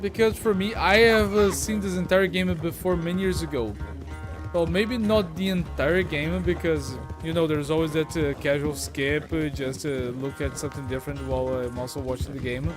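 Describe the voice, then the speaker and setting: A man from Brazil talks through a game livestream in a friendly, rambling way, full of "uh"s. His voice sits a bit high for a man. Game music plays clearly behind him. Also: steady tone, moderate pace. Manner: friendly, rambling, many "uh" fillers. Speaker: live streamer. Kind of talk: casual gameplay livestream chat. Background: game music and horse sounds.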